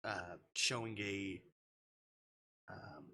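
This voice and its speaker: A man's voice: on the low side, steady tone, drawn-out words, sharp tone. A man livestreaming, reading a visual novel aloud and dropping into casual, sweary asides.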